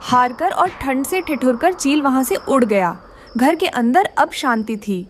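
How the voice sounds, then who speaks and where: A woman's voice: sits high, animated, unhurried, slight background noise. A woman narrating a scripted children's story.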